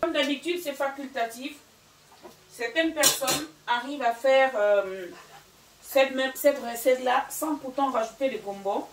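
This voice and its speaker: Hesitant, chatty woman, French not her first language, cooking vlog host, higher voice, distant mic. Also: measured pace, reverberant, noisy background. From Gabon and Equatorial Guinea. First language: Fang or another local language of Equatorial Guinea. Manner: chatty, hesitant, many fillers. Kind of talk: casual cooking vlog talk. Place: home kitchen.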